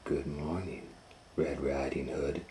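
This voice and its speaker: A man voicing a sly, hungry old storybook wolf who mutters slowly in falsely sweet half-whispers. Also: extremely low pitch, steady tone, warm tone, roomy sound, slight background noise. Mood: falsely polite.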